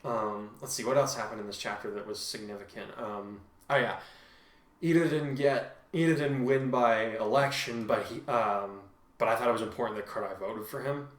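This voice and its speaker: A man chatting casually as a podcast host, thinking aloud in a halting, informal way. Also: medium pitch, roomy sound. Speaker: podcast host and fan fiction writer. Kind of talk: casual chat.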